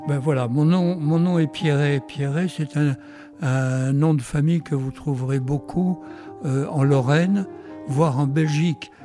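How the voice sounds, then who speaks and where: A man, reminiscing unscripted in an interview and halting as he searches for his words. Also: on the high side, steady tone, over music. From France. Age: 90s. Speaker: interview guest recalling his life.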